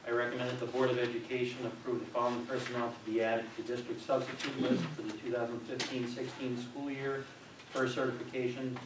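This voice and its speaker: Even-toned man reading motions, echoey room. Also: mid-range voice, fast. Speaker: school board member. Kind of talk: reading formal motions aloud. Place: meeting room. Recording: echoey room, distant microphone, faint noise.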